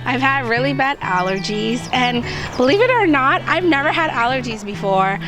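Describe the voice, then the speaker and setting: A woman with a slightly hoarse voice, hosting a gardening vlog in an upbeat, chatty way as she walks through each step outdoors. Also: high voice, lively intonation, normal speed. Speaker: gardening channel host. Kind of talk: casual how-to chat to camera. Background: background music.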